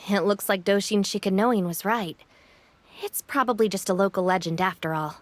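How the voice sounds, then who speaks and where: A woman's voice: mid-range voice, normal speed, no room sound, natural voice. A woman playing a composed, polite, capable young organizer in a video game, courteous and matter-of-fact. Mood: composed, friendly.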